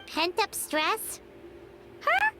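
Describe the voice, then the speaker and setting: A woman's voice: very high voice, wildly swooping pitch, extremely slow, music in the background, full character voice. A woman voicing a small, indignant female fairy companion in a video game, with a childlike, emphatic delivery. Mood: puzzled, curious. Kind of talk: video game character acting.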